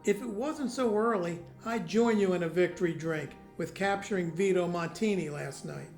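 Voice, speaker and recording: A woman playing a calm, supportive confidant in an audio drama, encouraging in manner. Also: on the low side, normal speed, soft background music, natural voice. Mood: relaxed, reassuring. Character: supportive, steady confidant of a vigilante hero. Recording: roomy echo.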